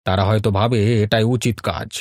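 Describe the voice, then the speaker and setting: A man's voice: on the low side, fairly even intonation, fairly quick, no room sound. A man voicing a kindly elderly village father-in-law in a cartoon fairy tale, affectionate and given to homely moralizing.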